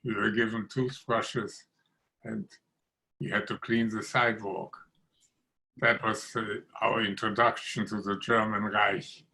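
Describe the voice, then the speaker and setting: A man in his nineties from Austria, telling his life story in a measured, deliberate way over a video call. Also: lowish voice, little pitch movement, creaky, bright, forceful tone, low-quality recording. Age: 90s. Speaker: interviewee. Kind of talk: oral history interview.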